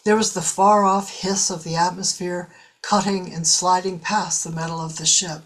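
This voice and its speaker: A woman's voice: fairly low pitch, steady tone, moderate pace. A woman narrating a science fiction story in a scripted, audiobook style.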